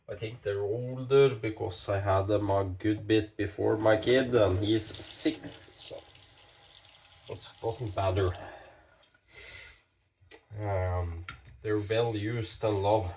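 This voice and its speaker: Stuffy guy with a cold, low-ish voice, talks slow and halting, mic a bit far off. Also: a little mellow, slight background noise. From Norway. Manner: slow, halting, with pauses and self-corrections. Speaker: knife sharpening channel host. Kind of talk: casual hands-on demonstration.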